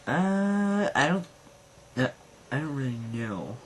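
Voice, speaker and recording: A tired amateur voices improvised puppet dialogue at a slow pace. The delivery is halting, with swearing, and the pitch sits in the middle. The homemade recording carries faint noise and clicks. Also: big pitch swings. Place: friend's house late at night.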